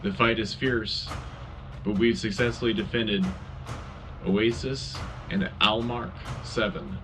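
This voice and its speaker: A man's voice: fairly low pitch, takes it slowly, music in the background. A man playing a solemn, patriotic wartime radio anchor, reading a scripted news broadcast in a declarative announcer style. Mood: grave, rousing.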